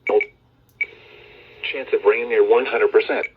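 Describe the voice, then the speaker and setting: A man's voice: fairly low pitch, steady tone, very slow, husky. Muffled synthetic man on weather radio. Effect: radio speaker. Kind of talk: automated forecast bulletin.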